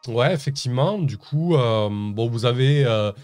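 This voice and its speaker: A man's voice: mid-range voice, lively intonation, measured pace, darkish tone, dry recording, faint music behind. A man narrating as game master of a tabletop game, hesitant, with many fillers and restarts.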